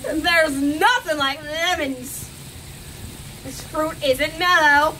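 A woman reading a picture book aloud in a theatrical, drawn-out way, outdoors and a little way from the camera's mic. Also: sits high, sharp tone, slight background noise, rough recording. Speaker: reader. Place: outdoors on a garden bench.

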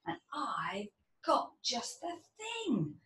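Tired grubby little storybook fairy done by a woman, breathy, keen to help, echoey room. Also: high voice, huge pitch swings, extremely slow, fairly bright tone, voice pushed up, full character voice. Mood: panting, eager. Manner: panting, breathy delivery. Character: tired, grimy little tooth fairy, eager and helpful. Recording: roomy, distant mic, faint noise.

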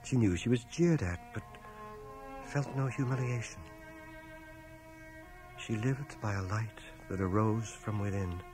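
An actor in a radio drama playing a reflective man narrating his small-town boyhood in a measured, expressive way. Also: lowish voice, close to an everyday voice. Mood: reflective, grave. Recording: home cassette transfer, music underneath.

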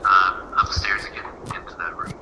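A man's voice: sits low, level tone, normal speed. A man playing a family multiplayer game, chatting casually and thinking aloud in a hesitant way over voice chat. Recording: muffled lo-fi voice chat, faint noise.

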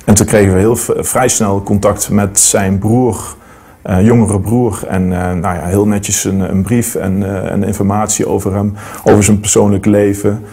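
A man's voice: lowish voice, level tone, moderate pace, warm tone, slightly rough. A man in his thirties telling his story in a documentary interview, hesitant with many fillers and restarts. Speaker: metal detectorist interviewee. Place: studio against plain backdrop.

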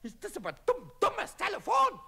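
A tiny mischievous kobold, with a very high, shrill voice that leaps all over. He stammers and exclaims like an excitable child in a children's radio play. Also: moderate pace, creaky, very noisy, heavily acted. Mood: frustrated, puzzled. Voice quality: squeaky, shrill.

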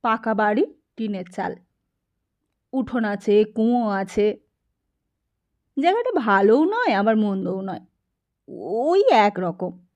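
A woman narrator reading a short story aloud in an expressive, scripted storytelling style. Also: mid-range voice, slow, long pauses. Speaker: audiobook narrator.

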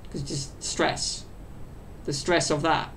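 A young man in his twenties talks on a solo podcast, meandering loosely and stuffing his talk with fillers. His voice sits fairly high with a gravelly, fry-edged texture. A faint low rumble hangs under the desk mic off to his side. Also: flat delivery, takes it slowly, a bit bright. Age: 20s. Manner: chatty and meandering, full of fillers. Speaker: podcast host. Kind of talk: rambling solo podcast chat. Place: small bedroom at home. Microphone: desk mic set off to the side.

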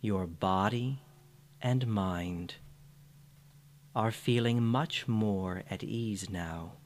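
A man narrating a scripted guided meditation in a soothing, gentle manner. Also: on the low side, slight background noise. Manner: soothing, unhurried, gentle phrasing.

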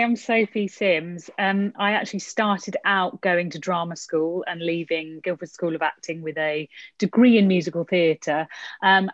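Woman panelist, low voice, muffled dry call audio, quick with ums. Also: no echo. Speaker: digital marketing lead, panelist. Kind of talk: panel self-introduction. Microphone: video call.

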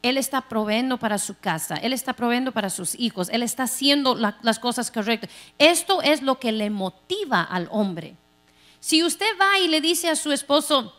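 A middle-aged woman pastor from Texas preaching a sermon on a large church stage into a handheld mic, animated and playful, acting out quoted voices. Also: fairly high pitch. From Texas, United States. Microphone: handheld microphone.

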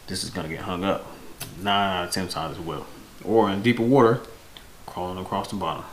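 A man's voice: low voice, fairly even intonation, a little fast, echoey room. A man in his thirties giving casual, unscripted fishing-vlog style tips to camera, correcting himself as he goes, indoors at home. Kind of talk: casual instructional product talk to camera. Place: indoors, home room with windows. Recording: noisy home camera audio.

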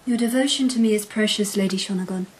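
An actress in a radio drama playing an imperious, coolly poised Empress, measured and commanding, with a breathy voice. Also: female voice, mid-range voice, a little hiss or hum, lightly acted, poor audio. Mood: regal, commanding.

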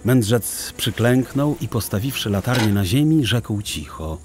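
A man narrating a literary story for an audio drama in a measured, expressive and solemn way. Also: on the low side, music in the background.

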